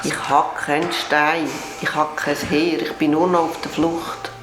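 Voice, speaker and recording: A woman voicing a fallen warrior queen now on the run, speaking Swiss German calmly and with regret in a children's radio play. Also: low voice, unhurried. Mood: weary. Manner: calm, precise, regretful. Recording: echoey with music underneath.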